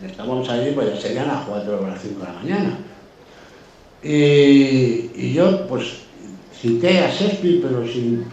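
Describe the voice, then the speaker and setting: A man's voice: mid-range voice, lively intonation, normal speed, darkish tone, lo-fi sound. Guy from spain telling stories casually, effusive and sweary, super echoey, bit far from the mic. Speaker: guest. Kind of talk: casual anecdotal chat. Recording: very echoey room, distant mic, faint noise.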